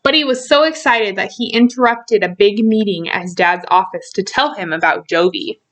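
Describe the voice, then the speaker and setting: A woman reading a children's storybook aloud in an expressive way, stretching out key words for emphasis. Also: medium pitch, moderate pace.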